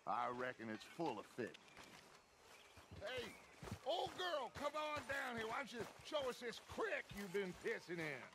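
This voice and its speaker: A middle-aged American outlaw gang leader in a western game. He talks in grand, persuasive oratory, high for a man and very animated. Outdoor noise and horses are clearly heard behind him. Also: a little slow, full character voice. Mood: breezy. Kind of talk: dramatic western game cutscene acting.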